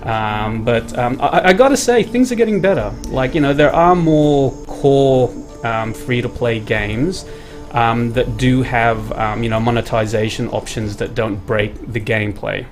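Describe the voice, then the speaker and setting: A man's voice: medium pitch, a little slow, soft background music. A man hosting a weekly game live stream, full of "um" and "you know", reading viewer comments and steering the chat. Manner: filler-heavy, reads out viewer comments, steers the talk.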